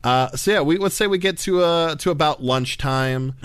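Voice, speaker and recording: A man's voice: on the high side, moderate pace, clean tone, no room sound. A man narrating as game master, chatty and rambling, with many fillers and restarts.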